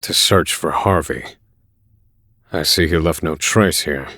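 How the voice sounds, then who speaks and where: Very deep, grave scholar. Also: male voice, bright, forceful tone, slight background noise, full character voice. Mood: dry, resolute. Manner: formal, measured, stern. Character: grave, literal-minded male scholar of magic, escaped prisoner. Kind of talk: dramatic video game voice acting.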